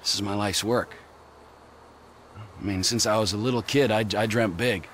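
A man's voice: fairly low pitch, fairly even intonation, normal speed, fairly bright tone, a little hiss or hum, close to an everyday voice. An actor playing a volatile, violent middle-aged criminal in a video game cutscene, swearing and switching between pleading and screaming rage.

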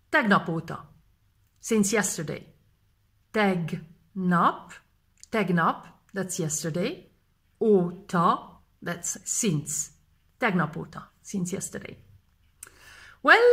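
Woman in her 30s doing a language lesson to camera, real slow, says everything twice, mid pitch. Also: fairly bright tone. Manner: repeats phrases slowly and clearly. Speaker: language teacher. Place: indoors in front of a plain gray wall. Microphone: close camera framing, face fills frame.

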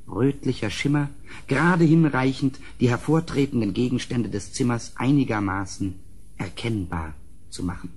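An actor playing an uneasy gentleman who recounts a gothic horror tale in measured, dramatic literary phrasing for a German radio play. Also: mid-range voice, slightly rough. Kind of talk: scripted radio play narration.

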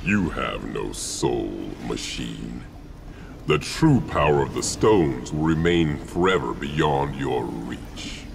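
An actor playing a huge, menacing alien warlord in a video game, gravelly, grave and commanding. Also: male voice, a bass voice, big pitch swings, slow, slight background noise, heavily acted. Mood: stern, bargaining. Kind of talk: dramatic video game villain acting. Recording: dramatic score clearly behind.

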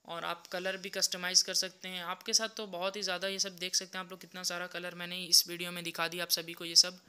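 Bright, smooth-voiced young man selling sarees. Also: sits high, fast. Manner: repetitive, steady walkthrough of each item. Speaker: saree seller. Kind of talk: product showcase sales pitch. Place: indoor saree shop.

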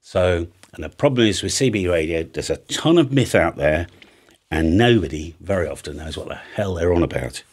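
Older man, British accent, low voice, informal tutorial, blunt, chatty, thinking out loud. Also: animated, normal speed, slightly rough. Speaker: radio hobby video presenter. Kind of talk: casual off-the-cuff tutorial. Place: home music studio room with drum kit. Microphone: large studio microphone on an arm, close by.

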